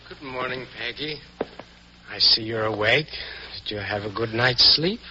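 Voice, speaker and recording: A man's voice: medium pitch, unhurried, bright, forceful tone, a little hiss or hum. An actor playing a falsely polite, reproachful criminal son devoted to his mother in an old radio drama. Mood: falsely pleasant. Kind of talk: 1940s radio acting. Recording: dull, muffled vintage radio recording.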